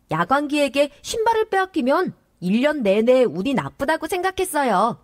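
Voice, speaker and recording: Woman, scripted narration, explanatory read, high lively voice, dry close sound. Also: no echo.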